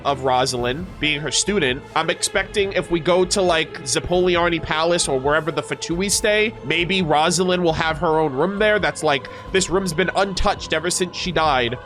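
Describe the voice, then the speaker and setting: A male reaction video host with a notably high voice. He talks casually and enthusiastically, full of slang and swearing, with soft music playing underneath. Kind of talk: casual excited commentary.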